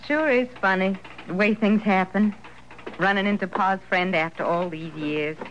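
A woman's voice: medium pitch, moderate pace, close to an everyday voice. A woman acting in an old-time radio western as a plucky, earnest frontier daughter with a rural Western American accent. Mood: bright, chatty. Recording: dull old radio recording, faint noise.

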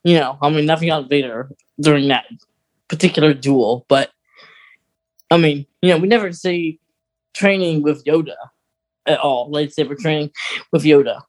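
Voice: mid-range voice, normal speed, pauses often. A podcast co-host talking in a casual, conversational way about fan lore. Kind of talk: casual chat about Star Wars lore.

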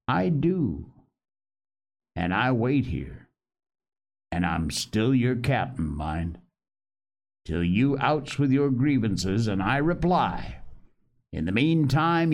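A sly, commanding old pirate captain, as a man puts him on, pitched high above a plain speaking voice and rolling out rough sailor dialect. His tone stays scornful and lively, swinging up and down as he talks. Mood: defiant. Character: older male pirate captain, cunning, commanding. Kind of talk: audiobook character reading.

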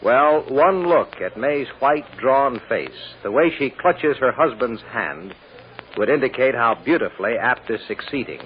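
A man's voice: medium pitch, animated, a little slow, lightly acted. An American man acting as a grave, dramatic narrator of a courtroom story in an old-time radio drama. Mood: grave, suspenseful. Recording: tinny, noisy old radio recording.

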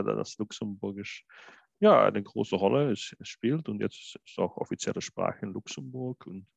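A man from Belgium, a guest interpreter on a podcast, talking casually and haltingly, full of fillers and restarts. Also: lowish voice, creaky, dry recording. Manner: hesitant, many fillers and restarts. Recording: dull, lo-fi, dry sound.

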